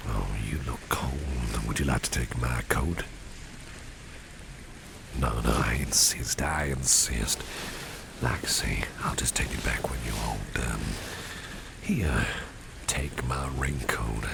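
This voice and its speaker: Man playing a very deep, gravelly gentleman boss. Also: animated, slow pace, pauses often, exaggerated voice. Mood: attentive, gently charming. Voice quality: breathy low half-whisper, gravelly. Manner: gentlemanly, formal, soothing. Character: courteous, protective, doting businessman boss. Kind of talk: ASMR roleplay. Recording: noisy, with rain and street ambience.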